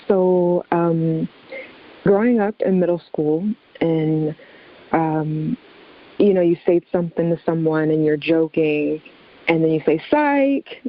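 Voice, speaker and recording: American woman explaining, slightly dull lo-fi audio. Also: sits low. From southeastern Virginia, USA. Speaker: interview guest, therapist. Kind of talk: conversational interview answers.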